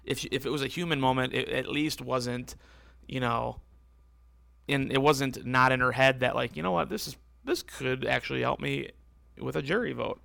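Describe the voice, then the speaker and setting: A man hosting a casual solo podcast, rambling and correcting himself as he talks, with a voice that is going hoarse. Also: medium pitch, normal speed, fairly bright tone, no room sound. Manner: rambling, self-correcting, conversational. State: losing his voice, hoarse. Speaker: podcast host.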